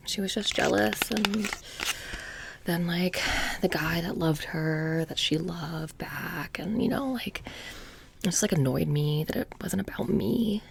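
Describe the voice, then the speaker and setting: Soft, very creaky blasé witch played by a woman. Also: on the low side, unhurried, full character voice. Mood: blasé, mildly annoyed. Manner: casual rambling, many "like" fillers, drifting into whispers. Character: blasé, petty immortal witch running a haunted trinket shop. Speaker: ASMR performer playing a shopkeeper. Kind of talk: soft-spoken ASMR roleplay.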